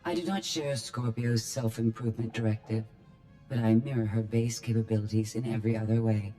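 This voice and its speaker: A woman's voice: rumbling low, fairly even intonation, brisk, dark tone, faint music behind. A woman voicing a cold, superior robot intelligence in a game cutscene, formal and condescending, with synthetic processing. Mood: cool, matter-of-fact. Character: cold, superior female droid intelligence, haughty and precise. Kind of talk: video game cutscene acting.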